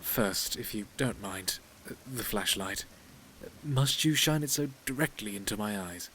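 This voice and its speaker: An actor playing a prim, mysterious business partner in an audio drama, speaking formally and politely, with measured evasiveness. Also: male voice, lowish voice, animated, bright, forceful tone, slight background noise, rough recording.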